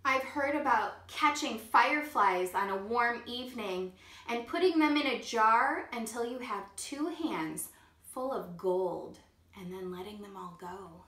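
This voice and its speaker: Woman, kindergarten teacher, reading aloud to kids; gentle, slightly high voice, echoey room. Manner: gentle storytime reading, pausing to ask children questions. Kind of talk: reading a picture book aloud to children.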